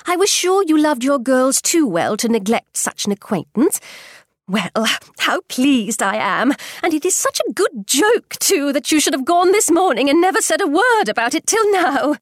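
A woman voicing an excitable, fretful middle-aged mother in an audiobook, high and gushing. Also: normal speed, dry recording, studio quality. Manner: shrill, gushing, scolding.

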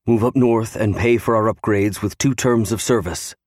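A man's voice: fairly low pitch, normal speed, a little mellow, no echo, clean studio sound, natural voice. A man voicing a steadfast young navy serviceman, earnestly reassuring his wife in an audiobook. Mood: tender resolve. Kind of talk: audiobook character acting.

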